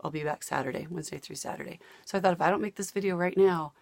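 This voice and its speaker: A woman's voice: fairly low pitch, racing, creaky, no echo. An older woman chatting casually to camera about her hobby, her voice partly worn out from days of talking, rambling and laughing easily. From United States. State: losing her voice. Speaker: cross-stitch vlogger. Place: home.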